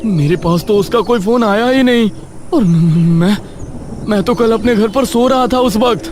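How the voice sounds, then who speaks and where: A man's voice: sits high, background noise, voice pushed up. A man voicing an anxious young man searching for his missing friend, talking urgently and in a rush. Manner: urgent, rushed, nervous. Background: background music.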